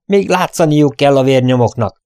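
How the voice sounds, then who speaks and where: A man's voice: mid-range voice, animated, creaky, dark tone, dry recording, studio quality, pitched above the performer's own voice. Man voicing a bold, indignant young cowboy. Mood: outraged.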